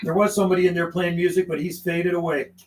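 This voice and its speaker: A man with a high-pitched voice works his radio on a livestream. He talks in a casual, slangy way and recites call signs like a seasoned operator. There is noticeable noise behind his voice. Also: little pitch movement, fast talker, reverberant. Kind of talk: casual livestream chat mixed with radio calling. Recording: clear background noise.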